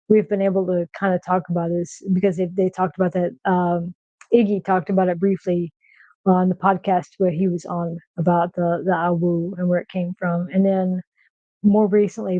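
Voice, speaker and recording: A woman with a soft nasal voice chatting casually on a livestream from her kitchen, with lots of fillers and restarts. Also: fairly low pitch, no room sound, dull audio. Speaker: streamer host. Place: home kitchen.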